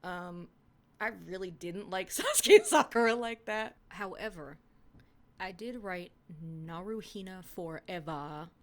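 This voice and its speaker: A young woman reading her own old fan fiction aloud in a hushed, half-whispered voice, then chatting about it with giggly, self-mocking commentary. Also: lowish voice, animated, measured pace. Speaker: podcast reader.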